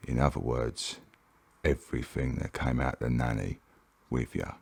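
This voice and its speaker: A man with a Cockney accent voicing God as a cheerful male deity, reading softly and unhurriedly in ASMR style. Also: a bass voice, moderate pace, vocal fry, husky, dry recording, exaggerated voice. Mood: reassuring.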